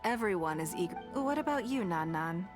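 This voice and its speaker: Composed, elegant auntie played by an actress, music behind. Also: female voice, on the low side, expressive, natural voice. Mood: gracious, interested. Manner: measured, gentle, genteel phrasing. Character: composed, elegant, kindly woman, an indulgent auntie figure. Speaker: character in a video game. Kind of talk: scripted game voice acting.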